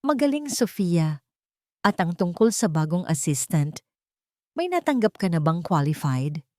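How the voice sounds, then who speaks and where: A woman's voice: on the low side, sing-song, a little slow, a little mellow, no echo, studio quality. A woman narrator voicing a cool, exacting wealthy male executive in a radio drama, curt and composed.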